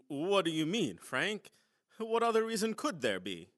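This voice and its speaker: An actor playing a flustered, two-faced, scheming boss in a radio comedy, exclaiming theatrically. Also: male voice, a little high, wildly swooping pitch, a little slow, a bit bright, heavily acted. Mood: flustered, startled. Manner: theatrical, exclaiming, stammering cover-ups.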